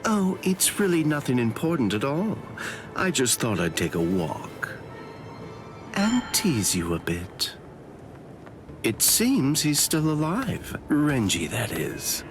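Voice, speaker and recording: Sly anime game swordsman, super singsong n slow, fake friendly taunts. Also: medium pitch, wildly swooping pitch. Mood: mocking, playful. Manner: teasing, drawn-out, falsely polite. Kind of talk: anime game dub acting. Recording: prominent music behind voice.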